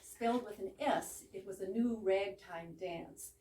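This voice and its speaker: A woman's voice: medium pitch, measured pace, mellow. A woman giving an informal, explanatory introduction to a play for a live audience. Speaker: presenter introducing a play she acts in. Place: room in a private home with a small audience. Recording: echoey room, distant mic, background noise.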